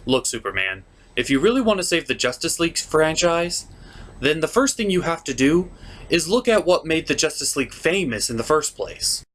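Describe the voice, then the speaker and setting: A man's voice: fairly high pitch, sing-song, normal speed, a bit bright. A man giving a scripted comedic review as a show's critic, opinionated and sardonic. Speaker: puppet show reviewer.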